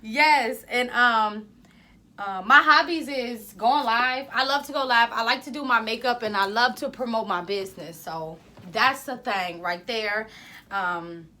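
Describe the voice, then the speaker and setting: Woman podcast co-host, loud, chatty, rambling, pitched a bit high. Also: unhurried. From Ohio, US. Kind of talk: casual podcast chat.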